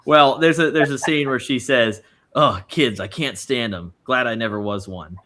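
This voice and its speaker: A man's voice: medium pitch, moderate pace, sharp tone, slight background noise. A man in his twenties chatting casually as a participant on a streamed panel. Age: 20s. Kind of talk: casual chat.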